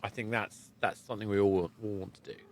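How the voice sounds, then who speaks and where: A man's voice: fairly low pitch, fairly even intonation, fast talker. A man hosting a conversational podcast interview, often repeating and rephrasing his words as he goes. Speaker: podcast host.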